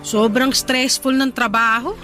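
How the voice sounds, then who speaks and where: A woman's voice: fairly high pitch, steady tone, a little slow, bright, forceful tone, faint music behind. A woman with a Filipino accent playing a sassy, ambitious, restless young resort worker in a radio drama, speaking emphatically. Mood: weary complaining.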